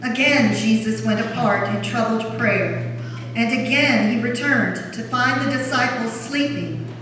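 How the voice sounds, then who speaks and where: A woman with a slightly high voice gives solemn, measured scripture narration. She is heard at a distance in a very echoey church, with clear background noise. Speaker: narrator of a Passion Gospel reading. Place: large church during a service.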